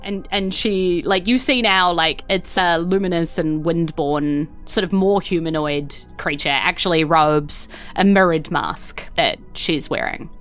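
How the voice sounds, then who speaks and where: A woman's voice: fairly low pitch, unhurried, few pauses, faint music behind. A woman with a New Zealand accent improvising casual, conversational narration as a tabletop game master. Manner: informal, conversational.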